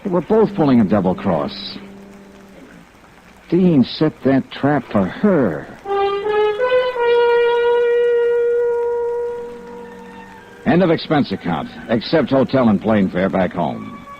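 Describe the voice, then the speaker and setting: A man's voice: medium pitch, huge pitch swings, unhurried, vocal fry, soft background music, exaggerated voice. An American actor playing a dogged, hard-boiled insurance investigator who narrates his case in clipped, dramatic 1950s radio noir style. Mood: somber, bitter. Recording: dull, noisy vintage radio transcription.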